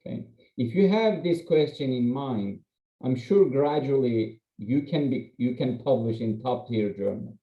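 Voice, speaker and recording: A man's voice: mid-range voice, measured pace. A man from Turkey, a non-native English speaker and journal editor, presenting an academic webinar in a candid, self-deprecating, conversational way with frequent fillers. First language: Turkish. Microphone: computer mic on video call. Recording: echoey, slightly muffled video-call audio.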